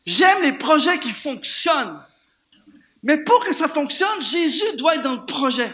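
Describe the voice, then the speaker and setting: Animated Canadian French preacher, high bright voice. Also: male voice, measured pace. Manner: animated, repeats words for emphasis, rhetorical questions. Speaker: guest preacher. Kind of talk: lively sermon. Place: church, before a congregation.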